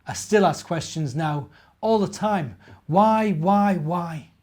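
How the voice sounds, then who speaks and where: A middle-aged man with a slightly high voice speaks as a children's storyteller. He addresses his young listeners directly, putting gentle questions to them in the manner of a minister at story time. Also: slow. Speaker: minister reading children's stories.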